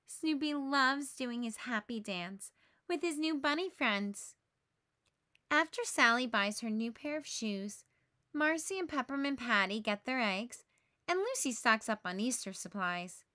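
Woman, high-pitched, unhurried, expressive children's read-aloud, dry close sound. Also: no room sound. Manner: measured, expressive. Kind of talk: scripted children's storybook narration.

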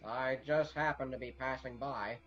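A man's voice: medium pitch, fairly even intonation, fairly bright tone, soft background music, close to an everyday voice. Man acting a restless, stubborn young small-town man, muffled sound. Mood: awkward, grudging. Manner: halting, grudging, mumbling. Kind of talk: radio drama acting.

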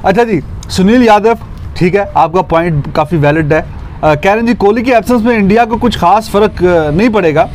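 High-pitched Pakistani man hosting. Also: moderate pace, a little mellow. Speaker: host reading viewer comments. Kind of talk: casual cricket chat. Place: outdoors at a table in a park. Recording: faint outdoor background noise.

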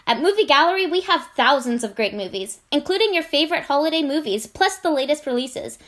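A woman's voice: sits high, animated, brisk, fairly bright tone. A woman doing scripted advertising reads as a voice-over artist, with a polished, conversational sales delivery.

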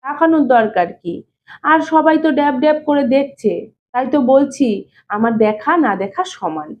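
A shy, stubborn young bachelor read by a woman in an audio story. He speaks plainly and evasively in a high voice. The recording is narrow and phone-like. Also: animated, moderate pace. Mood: stubborn, reluctant. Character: shy, stubborn young bachelor brother-in-law, reluctant to marry. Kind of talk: dramatized audiobook reading. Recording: thin phone-like narrow-band sound.

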